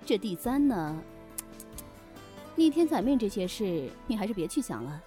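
A woman's voice: mid-range voice, sing-song, normal speed, warm tone, faint music behind, close to an everyday voice. A woman playing a shrewd, breezy keeper of a demon inn, teasing and bossy in an offhand way, in an audio drama. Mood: breezy, businesslike.

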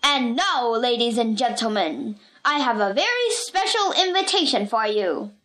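A bombastic storybook ringmaster, played by a woman, showy and quick to temper. The delivery is grandiose and very animated, stretching out words and swooping up to higher notes. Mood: grand, showy. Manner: grandiose announcing with drawn-out words. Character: bombastic male circus ringmaster, showy and easily enraged.

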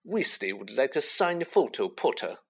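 A man's voice: fairly high pitch, very animated, measured pace, breathy edge, voice pushed up, exaggerated voice. A man voicing a spoiled, smug schoolboy bully with a scathing, mocking sneer, in a storybook narration.

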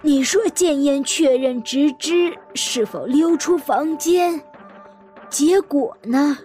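A woman's voice: high voice, takes it slowly, warm tone, soft background music. An actress playing a polite, guarded elderly lady in an audio drama, asking careful, hesitant questions. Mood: inquisitive.

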